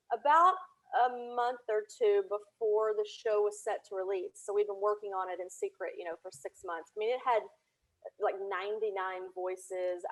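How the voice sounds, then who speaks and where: A woman's voice: mid-range voice, poor audio. A woman guest on a podcast, telling her story in a casual, informal interview style. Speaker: podcast guest, audio drama producer and writer.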